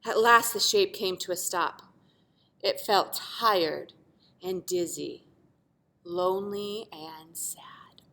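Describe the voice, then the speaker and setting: A woman's voice: fairly low pitch, slow, gravelly, pushed and bright. A woman reading a children's picture book aloud like a teacher, with dramatic emphasis and asides to young listeners. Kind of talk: expressive children's storybook read-aloud.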